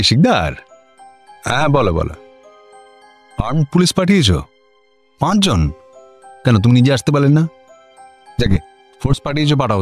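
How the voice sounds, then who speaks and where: An actor playing a district magistrate in a comic radio drama, an officious, easily bemused senior official with an incredulous way of questioning. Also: male voice, medium pitch, darkish tone, natural voice.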